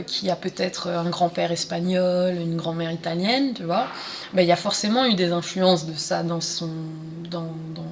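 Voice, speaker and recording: Hesitant young woman, low voice. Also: a bit bright, a little hiss or hum. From France, Paris suburbs. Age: 20s. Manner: hesitant, many fillers and restarts. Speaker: interview guest. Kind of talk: casual conversational interview.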